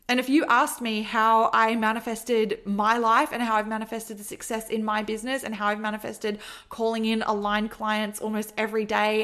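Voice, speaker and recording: A woman's voice: a little high, fairly bright tone. Earnest podcast host woman, many fillers. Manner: earnest, repetitive, filler-heavy with frequent "like". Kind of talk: solo podcast monologue.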